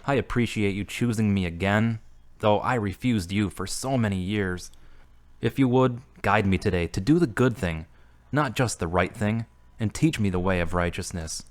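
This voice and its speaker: A man playing an earnest, moody man returned to his faith, measured and subdued, in an audio drama. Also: lowish voice, natural voice. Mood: earnest, prayerful. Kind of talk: hobby audio drama acting.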